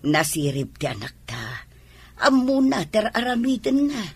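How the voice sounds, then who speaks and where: Lady acting proud hopeful ilocano mom, really raspy low-ish voice, lively intonation. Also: unhurried, heavily acted. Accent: Filipino, Ilocano. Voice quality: raspy, husky. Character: hopeful wife and proud mother. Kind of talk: Ilocano radio-style drama acting.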